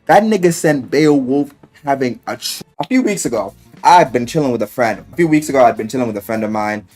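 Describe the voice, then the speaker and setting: A man's voice: mid-range voice, animated, a little fast, a little mellow. A man giving casual, loosely scripted video commentary, rambling and emphatic, with slangy, profane talk. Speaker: YouTuber reviewing a visual novel. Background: music.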